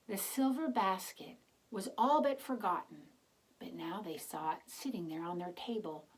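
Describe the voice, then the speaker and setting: A woman reading a storybook aloud to young children, expressive, with simple explanatory asides and gentle questions. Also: fairly low pitch, a little hiss or hum, rough recording.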